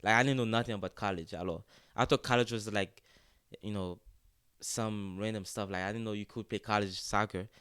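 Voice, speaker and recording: A young man from Haiti, a student-athlete guest, speaking in a relaxed, casual way and often saying "like" and "yes, sir" in an interview. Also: on the low side, fairly quick, clear, resonant tone, no room sound. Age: young adult.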